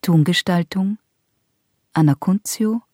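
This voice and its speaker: A woman's voice: lowish voice, slow, warm tone, slightly rough, dry recording, studio quality. A woman announcer reading scripted radio credits in a sober, list-like manner.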